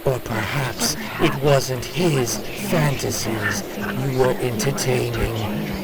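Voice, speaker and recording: An actor voicing a cruel, mocking monster that speaks as "we" in an audio drama, slow and taunting with scornful laughs. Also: medium pitch, a loud crowd.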